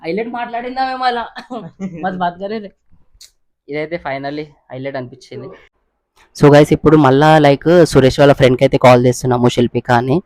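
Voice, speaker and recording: Young male prank-call host. Quick, high-voiced, casual, code-mixes English, dry close sound. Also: a little mellow, no room sound. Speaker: prank caller and video host. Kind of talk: casual prank phone chat.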